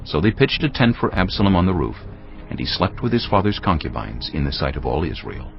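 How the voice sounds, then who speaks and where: A man's voice: low voice, big pitch swings, a little fast, vocal fry, faint music behind. A man reading scripted scripture as the narrator of an audio Bible. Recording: dull lo-fi audio with noise.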